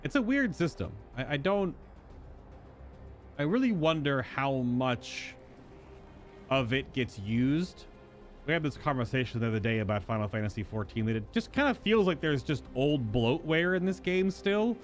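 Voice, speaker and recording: A man's voice: mid-range voice, sing-song, moderate pace, faint music behind. A man doing casual live game commentary, musing and rambling as he thinks aloud. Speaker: game streamer.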